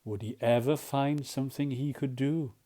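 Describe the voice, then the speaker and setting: A storyteller reading a children's picture book expressively, asking the listener questions and often dropping to hushed tones. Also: medium pitch, normal speed. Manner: animated, dramatic, often drops to hushed tones. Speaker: volunteer storyteller.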